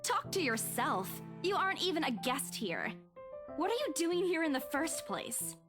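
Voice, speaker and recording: High-voiced feisty young woman. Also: very animated, normal speed, over music. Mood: exasperated. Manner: teasing, scolding, emphatic. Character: feisty young woman, teasing, exasperated with her friend. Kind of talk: visual novel game voice acting.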